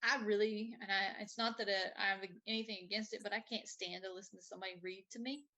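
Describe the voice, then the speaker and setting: Female live show host, bright tone, mid pitch, informal and a bit rambling. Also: fairly even intonation, fast. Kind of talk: casual chat, reading out viewer comments.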